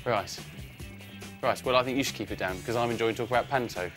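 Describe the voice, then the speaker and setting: A man's voice: medium pitch, fast talker, over music. A young man in his twenties presenting children's TV in a TV studio, playful and mock-indignant. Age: 20s. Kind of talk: lively scripted studio presenting. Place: indoor TV studio set.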